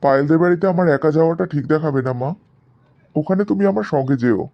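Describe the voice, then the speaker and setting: A man's voice: a little high, fast, gravelly. Man acting an earnest young son, muffled recording. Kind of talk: cartoon story dialogue. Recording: dull, muffled lo-fi sound.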